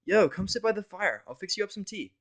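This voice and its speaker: A man voicing a laid-back, caring young female pony with slangy, animated delivery in a fan fiction audio story. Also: high-pitched, fast talker, pitched above the performer's own voice. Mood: friendly, laid-back.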